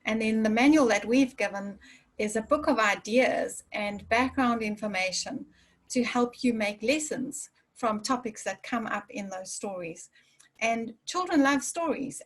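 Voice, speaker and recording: A South African woman co-host explains things fluently and with enthusiasm. Her voice sits a little higher than average. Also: poor audio. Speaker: curriculum publisher, co-host. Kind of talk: explanatory interview talk.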